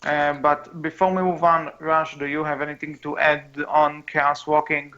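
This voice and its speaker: A man's voice: on the high side, level tone, normal speed, bright, forceful tone. A man hosting a casual podcast discussion, hesitant and often repeating himself. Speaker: podcast host. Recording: dull lo-fi mic.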